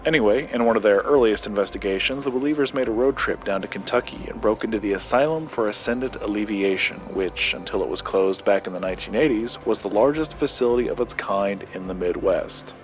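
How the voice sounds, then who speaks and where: An actor playing a formal, guarded paranormal collector and researcher, with precise, measured wording in an audio drama. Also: male voice, lowish voice, faint music behind, close to an everyday voice. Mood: measured, explanatory. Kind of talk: scripted audio drama dialogue.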